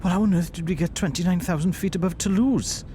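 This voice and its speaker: A man's voice: sits high, fast, warm tone, slight background noise, lightly acted. A man playing an earnest, easily alarmed detective sidekick who stammers, in a comic audio drama. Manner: stammering, flustered.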